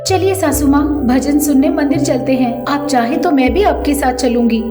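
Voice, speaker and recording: Casting: woman playing a deferential, eager-to-please young daughter-in-law for a family tale; high voice, roomy sound with music. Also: normal speed, natural voice. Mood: polite, eager. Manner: earnest, polite, deferential. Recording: echoey voice over background music.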